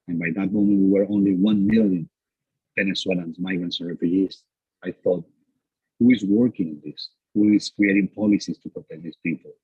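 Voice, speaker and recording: Deep husky man, halting earnest account. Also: no echo, phone-quality sound. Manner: earnest, halting, many fillers and restarts. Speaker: panel guest, exiled former mayor. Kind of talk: personal account at a panel talk.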